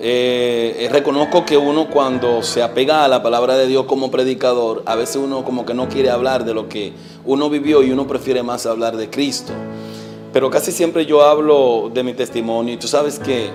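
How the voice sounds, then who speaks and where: Dominican evangelist, earnest halting testimony. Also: male voice, mid-range voice, steady tone, music in the background. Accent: Dominican Spanish. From Dominican Republic. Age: 40s. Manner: earnest, hesitant with frequent fillers, trails off softly. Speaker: evangelist guest. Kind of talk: testimony interview.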